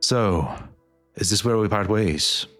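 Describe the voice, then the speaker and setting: A man playing a grim, weary and resolute war leader in a fantasy game dub, speaking gravely and deliberately. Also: fairly low pitch, a bit bright, faint music behind. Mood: somber.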